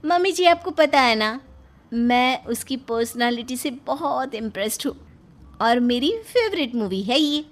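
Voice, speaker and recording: A woman's voice: fairly high pitch, animated, measured pace. A woman voicing a playful young daughter-in-law in a cartoon story, breezy and a little swaggering. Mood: cheerful, breezy. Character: cheeky playful young wife, swaggering film-hero fan. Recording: music behind the voice.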